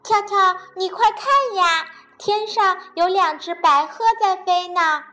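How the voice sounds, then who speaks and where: Woman doing a excitable rabbit boy, storybook, high and eager, slow. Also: faint music behind. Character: lively excitable male rabbit, the frog's friend. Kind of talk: children's storybook reading.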